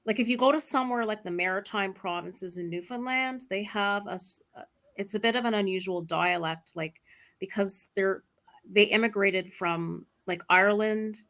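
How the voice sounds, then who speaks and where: Bright-voiced Canadian woman tutor. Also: medium pitch, moderate pace. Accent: Canadian English. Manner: chatty, lots of likes. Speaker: English tutor. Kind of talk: casual online lesson chat. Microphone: video call.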